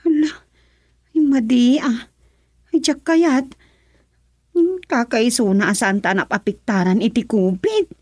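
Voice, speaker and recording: A woman plays a fretful, complaining village wife with a very high voice and an Ilocano accent. She exclaims and questions in radio comedy style, recorded close and dry. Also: a little slow, long pauses, darkish tone, breathy edge, no room sound. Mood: fretful, reluctant. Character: worried, fussy village wife, reluctant and questioning.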